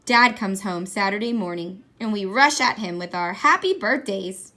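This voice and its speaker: Woman doing a kids book read aloud, really bright voice, expressive. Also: medium pitch, normal speed. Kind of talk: expressive children's picture book reading.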